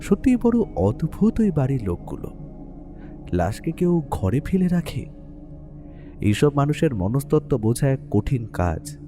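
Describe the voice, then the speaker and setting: A man playing a detective's observant writer companion, narrating a mystery in the first person with expressive, literary storytelling. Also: medium pitch, unhurried, long pauses. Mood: pensive, uneasy. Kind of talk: scripted dramatic story narration. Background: clear music bed.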